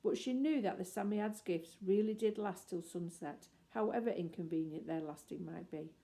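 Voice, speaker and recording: A woman reading a children's story aloud as expressive scripted narration. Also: on the low side, moderate pace, creaky. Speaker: audiobook reader.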